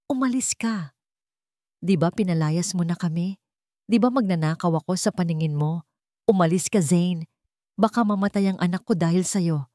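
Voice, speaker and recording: A woman's voice: lowish voice, normal speed, long pauses, dark tone, no room sound, lightly acted. Casting: female narrator as anguished young mother, guilt-ridden and wounded, radio drama delivery, dry sound. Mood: angry, hurt. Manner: anguished, emotional. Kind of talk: Tagalog radio drama storytelling.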